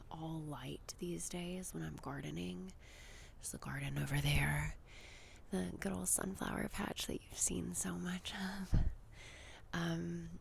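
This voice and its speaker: Hushed, creaky woman whispering slowly. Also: low voice. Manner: soft whispering and hushed talk, chatty, informal. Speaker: ASMR vlogger. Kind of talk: whispered ASMR, casual rambling tour. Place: outdoors in a residential backyard. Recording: faint wind and outdoor noise.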